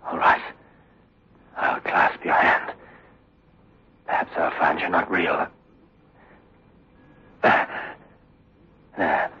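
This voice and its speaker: A man's voice: very deep voice, fairly even intonation, slow, breathy edge, full character voice. An actor playing a tormented young man in his twenties in 1960s radio horror, stammering and desperate. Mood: fearful, hushed. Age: 20s. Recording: dull, muffled old radio recording.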